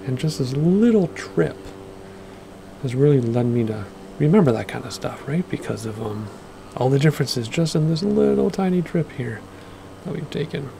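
A man, rambling casually through gameplay commentary with lots of fillers, his voice a bit hoarse from losing it. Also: mid-range voice, sing-song, moderate pace, dark tone, slight background noise. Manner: rambling, chatty, with many fillers. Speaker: flight sim gaming host.

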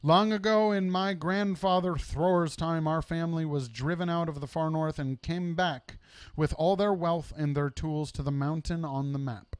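A man's voice: fairly high pitch, steady tone, normal speed, clear, resonant tone, no echo, lightly acted. A man voicing a proud, self-important dwarf leader in a storybook, with a pompous, grandiose way of telling things. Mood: proud, reminiscing.

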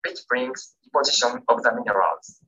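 A man whose first language is Japanese, reading a scripted academic lecture as keynote speaker in a careful, measured way. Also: medium pitch, sharp tone, slightly rough, muffled recording. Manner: careful, measured reading with non-native grammar.

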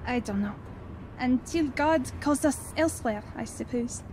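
A woman's voice: high-pitched, measured pace, darkish tone. An actress playing an awed, hopeful missionary woman in a radio drama, with vehicle noise behind her.

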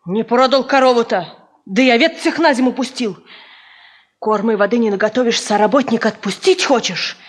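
Peasant wife played by a woman: sharp-tongued, jealous, desperate, rustic scolding, vintage radio drama. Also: on the high side, fairly quick, close to an everyday voice. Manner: scolding, rustic folk speech. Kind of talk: 1950s Soviet radio play acting.

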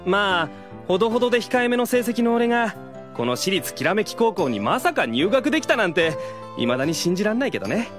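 A woman voicing a hopeful, easily flustered teenage boy in a casual, expressive audio drama style. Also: medium pitch, brisk, over music, natural voice. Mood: hopeful narration. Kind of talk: scripted Japanese anime-style audio drama acting.

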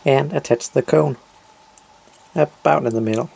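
A man's voice: medium pitch, moderate pace, gravelly, a little mellow. A man narrating a step-by-step DIY tutorial in short, simple, matter-of-fact instructions.

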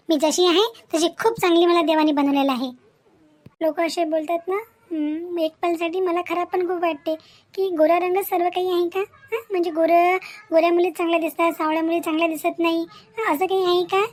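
Cartoon young woman, voiced by an actress: high voice, sensitive yet proud, emphatic delivery, Marathi accent. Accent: Marathi of Maharashtra. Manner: earnest, emphatic, repeats rhetorical questions. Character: proud, hurt young bride-to-be defending her dark complexion. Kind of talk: Marathi cartoon drama acting.

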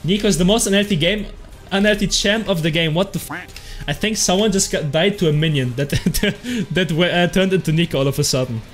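A young man from Germany with a heavy German accent, excitably chatting with his stream chat while playing, swearing and laughing freely. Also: sits high, a little fast, faint music behind. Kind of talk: casual live game commentary, reading chat. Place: small room at home.

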